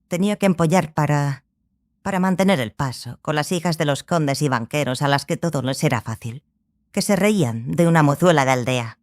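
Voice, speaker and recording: A woman playing a stern, obsessive scholarly sorceress in a dramatized audiobook, speaking gravely and deliberately, with pauses. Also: low-pitched, a little mellow, close to an everyday voice. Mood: reflective.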